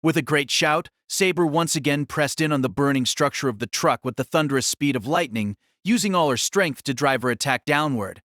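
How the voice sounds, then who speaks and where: A man narrating a scripted action story as an audiobook reader, with a measured, formal, literary delivery. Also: fairly high pitch, expressive, fairly bright tone, no echo, clean studio sound.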